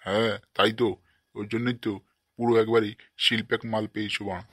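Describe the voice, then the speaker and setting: A man's voice: lowish voice, level tone, fast talker, a bit bright, no room sound, studio quality. A man voicing an indignant, blustering older father-in-law in a cartoon comedy.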